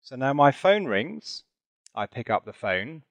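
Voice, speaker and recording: A man narrating a software demonstration in a chatty, informal way, with conversational asides. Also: medium pitch, big pitch swings, a little slow, no echo. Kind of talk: conversational product demo narration with role-play.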